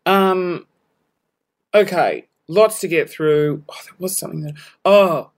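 A young Australian woman hosting her podcast at home, her voice worn hoarse, chatting in a rambling, self-mocking way with scattered asides. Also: lowish voice, takes it slowly. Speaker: podcast host. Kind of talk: casual solo podcast chat. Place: home room, balcony door open.

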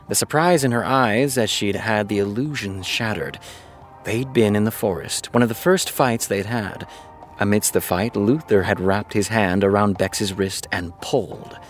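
A man's voice: lowish voice, moderate pace, soft background music, close to an everyday voice. A man acting in a fiction podcast as an exasperated, sarcastic TV creator who reads fan fiction aloud. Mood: reading aloud. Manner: sardonic, muttering asides between read passages.

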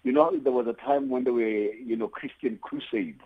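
A South African man giving conversational interview answers in a halting way, often saying "you know". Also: medium pitch, wildly swooping pitch, gravelly, breathy edge. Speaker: guest, theatre playwright and producer. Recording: tinny phone-like line.

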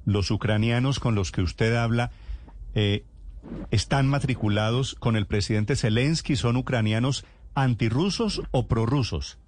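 A male news host puts short, pointed questions to his guest. His delivery is brisk and businesslike. Faint noise hums in the background. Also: medium pitch, a little mellow.

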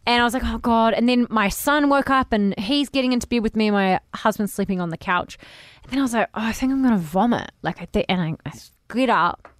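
Podcast co-host: New woman, 30s, blunt and sweary, higher voice, dry studio mic. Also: fast, no room sound. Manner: frank, swears freely, rambling storytelling. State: recovering from pneumonia. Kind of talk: casual podcast chat.

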